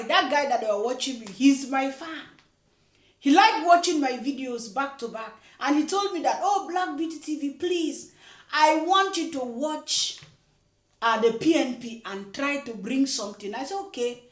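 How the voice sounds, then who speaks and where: Lively high-voiced woman vlogger, roomy. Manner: chatty, emphatic, laughs often, repeats phrases. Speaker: channel host. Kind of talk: political commentary vlog.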